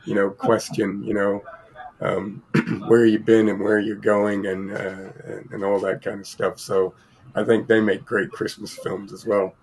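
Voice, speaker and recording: A man co-hosting a casual film podcast, sounding croaky from a cold and rambling with many fillers and restarts. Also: on the low side, flat delivery, measured pace, a little mellow, low-quality recording. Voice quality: gravelly, husky. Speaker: podcast co-host.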